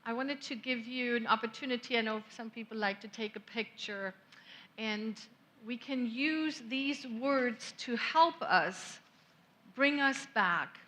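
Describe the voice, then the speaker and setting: Lady minister, slow gentle sermon, kinda high voice. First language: German. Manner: gentle, reflective, unhurried with long pauses. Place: church sanctuary.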